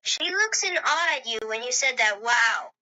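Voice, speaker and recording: A woman's voice: fairly high pitch, lively intonation, normal speed, sharp tone. A woman's synthetic-sounding voice reading a scripted roleplay, both narration and a gushing fan's dialogue, through lo-fi playback. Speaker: chatbot character voice.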